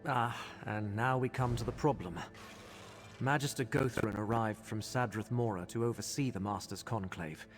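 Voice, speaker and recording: A man voicing a polite, earnest curate in a fantasy video game, speaking in a measured way. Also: medium pitch, soft background music, close to an everyday voice. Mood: worried. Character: courteous, earnest male curate in a fantasy world.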